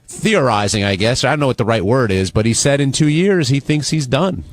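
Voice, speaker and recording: A man's voice: medium pitch, expressive, a little fast, no room sound. Male radio host, close and dry. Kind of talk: moderating a talk show discussion. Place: radio studio. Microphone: close studio microphone.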